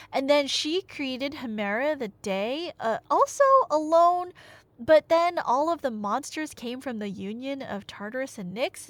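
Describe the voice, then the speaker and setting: A woman's voice: high-pitched, no echo. A woman telling myths in a casual, rambling roleplay style, nerdy and slangy, often cutting in on herself with asides. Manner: nerdy, slangy, animated, self-interrupting asides. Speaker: audio roleplay performer and storyteller. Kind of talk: casual, rambling comic myth retelling in audio roleplay.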